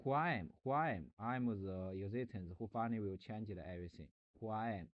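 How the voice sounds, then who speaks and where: A man streaming a rambling philosophical monologue, halting and searching for words, often restarting and repeating himself. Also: lowish voice, lo-fi sound.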